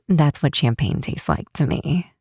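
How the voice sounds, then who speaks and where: A woman acts out a caring best friend in an intimate ASMR roleplay. She talks very slowly and gently, pausing often, with a teasing fondness. The sound is close and dry. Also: deep voice, breathy edge, dry recording, heavily acted. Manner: hesitant, gentle, unhurried with pauses.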